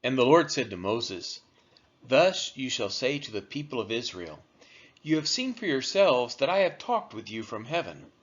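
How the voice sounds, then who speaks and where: A man reading scripture aloud as a narrator for a church read-along, in measured, deliberate phrasing. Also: mid-range voice, big pitch swings. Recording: dull, lo-fi recording.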